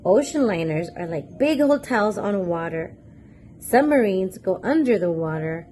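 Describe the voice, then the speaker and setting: A woman's voice: medium pitch, animated, unhurried, mellow, slight background noise. A woman hosting a children's read-aloud, reading a picture book expressively and putting questions to young listeners. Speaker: children's read-aloud storyteller and channel host.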